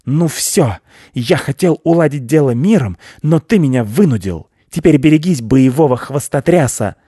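A man voicing a small, proud, frightened but plucky storybook hare in a shrill, dramatic, boastful manner. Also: lively intonation, normal speed, warm tone, slightly rough, dry recording, clean studio sound. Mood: shrill, defiant.

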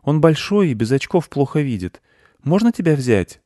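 A man voicing a kind, patient, curious young boy in a storybook, asking things gently. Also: fairly high pitch, very animated, normal speed, no echo, clean studio sound, voice pushed up. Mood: helpful. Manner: gentle, questioning.

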